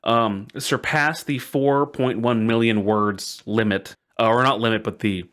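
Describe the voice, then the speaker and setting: A man's voice: medium pitch, steady tone, unhurried, fairly bright tone. A man hosting a podcast in casual storytelling chat, enthusiastic and emphatic, often doubling words for stress. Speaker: podcast host.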